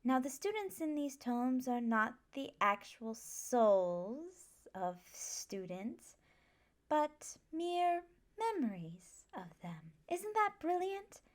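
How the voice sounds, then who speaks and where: A woman acts out a gentle, scholarly female professor of magic in an ASMR classroom roleplay. Her voice sits fairly high and she speaks very slowly. She is patient and reassuring, instructing her new students with measured care. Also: sing-song, exaggerated voice. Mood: calm, instructive.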